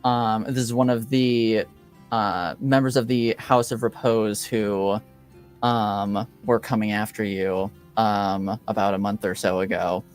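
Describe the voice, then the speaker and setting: A man runs a tabletop roleplaying game as game master, with a smooth, clear voice. He narrates slowly and hesitantly, assembling each scene piece by piece in improvised fragments. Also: lowish voice, fairly even intonation, soft background music. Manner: halting, hesitant, piecing scenes together in fragments.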